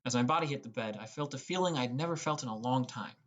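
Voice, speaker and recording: A young man narrates an audiobook in the first person, reading from a script. His voice sits at an ordinary middle pitch. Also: fast talker, fairly bright tone.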